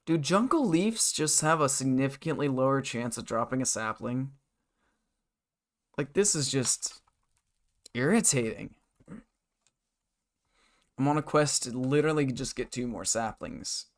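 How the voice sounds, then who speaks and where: A hoarse man live-streaming a game from his bedroom desk, rambling and muttering to himself and his chat. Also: mid-range voice, lively intonation, measured pace, pauses often. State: hoarse, scratchy throat.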